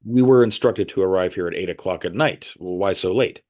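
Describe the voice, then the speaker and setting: A man's voice: sits low, fairly quick, darkish tone. A man playing a curious, flustered interviewer in an audio play, with a hesitant, stammering, inquisitive manner. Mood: curious, puzzled. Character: curious, flustered male interviewer visiting a strange commune.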